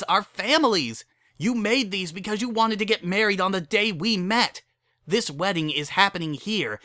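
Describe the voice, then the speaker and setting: A woman's voice: medium pitch, a bit bright, dry recording, pitched above the performer's own voice, natural voice. A woman voicing an angry, heartbroken young female pony in a dramatic story reading, impassioned and pleading.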